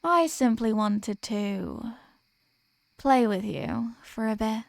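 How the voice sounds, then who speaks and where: A woman's voice: on the high side, expressive, slow, creaky, no room sound, full character voice. A woman playing a possessive, dominant vampire in an ASMR roleplay, speaking in a teasing, condescending manner with formal phrasing. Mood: possessive, soothing. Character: possessive, dominant female vampire toying with captive prey. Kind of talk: ASMR roleplay, scripted intimate monologue.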